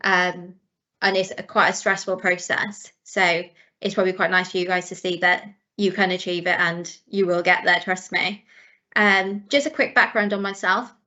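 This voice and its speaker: A woman's voice: lowish voice, bright, forceful tone. A Welsh woman in her late twenties, Welsh her first language, giving a friendly, encouraging talk on an online careers call with frequent ums. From South Wales. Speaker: guest speaker, chartered accountant. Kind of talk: presentation at an online careers event. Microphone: video call. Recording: slightly dull online call audio.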